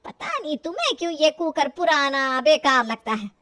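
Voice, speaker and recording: A woman's voice: high voice, sing-song, moderate pace, bright, forceful tone, no room sound, clean studio sound, exaggerated voice. A woman voicing a stubborn, elderly Indian mother-in-law who scolds and grumbles indignantly in a family storybook tale. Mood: angry, scolding. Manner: indignant, grumbling, talks to herself.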